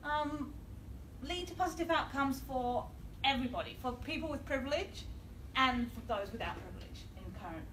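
A woman with an Australian accent giving a measured conference presentation, reading from notes. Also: high voice, lively intonation, a bit bright. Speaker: academic panel speaker. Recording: distant, echoey, noisy room.

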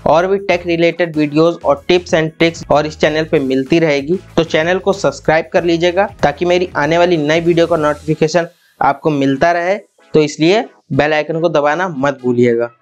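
Man presenting a tech tutorial, faint music. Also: on the high side. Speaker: tech channel host. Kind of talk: software tutorial narration. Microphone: lapel mic. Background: faint background music.

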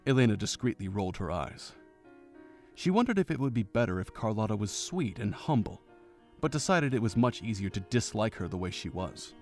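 A man narrating a fan audio drama in a steady storytelling way. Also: fairly low pitch, a little fast, faint music behind.

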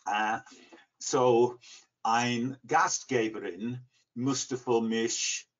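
An English man in his sixties with an English accent, answering interview questions haltingly as he searches for words in a second language. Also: medium pitch, fairly even intonation. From England, near Liverpool. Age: 60s. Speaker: interview guest, language learner. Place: living room at home. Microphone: computer mic on video call. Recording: dull, lo-fi video call.

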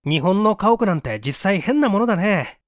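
Guy reading as a cocky sleuth in a mystery audiobook, high lively voice, gloating as he explains. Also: normal speed, no echo, clean studio sound. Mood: musing, excited. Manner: taunting, self-satisfied, explanatory. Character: smug, teasing male amateur detective, boastfully explaining his tricks.

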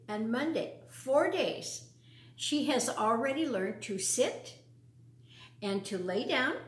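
An older American woman in her sixties or beyond hosting a children's storytime, talking and reading aloud in simple, deliberate phrases for young listeners. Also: on the high side. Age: 60s or older. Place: living room at home, indoors. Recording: roomy echo.

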